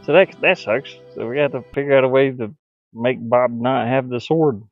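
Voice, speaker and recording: A man thinking aloud in a halting mutter as he gives casual commentary on his hobby game development. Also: mid-range voice, no echo. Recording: dull lo-fi audio, faint music. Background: faint music.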